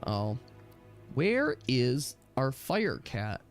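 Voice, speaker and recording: A man's voice: mid-range voice, sing-song, extremely slow, soft background music. A man chatting casually as a game master, with an enthusiastic, rambling way of explaining things to his players.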